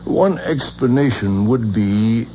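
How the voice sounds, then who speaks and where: A formal, lecturing occult scholar. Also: male voice, medium pitch, expressive, takes it slowly, warm tone. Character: older male scholar of the occult, patient, lecturing. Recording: dull old radio broadcast with faint hiss.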